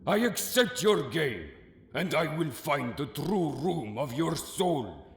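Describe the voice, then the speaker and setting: A man's voice: on the high side, big pitch swings, slow pace, full character voice. A man playing a solemn, mysterious Egyptian mystic in an audio drama, speaking in a grave, portentous manner. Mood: menacing, confident. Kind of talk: audio drama acting of a manga. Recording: echoey, long cavernous reverb.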